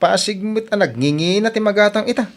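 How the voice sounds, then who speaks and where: A man's voice: sits high, brisk. A man acting a jobless, evasive and comically irritable village husband in an Ilocano radio comedy, with an Ilocano accent. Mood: defensive, evasive.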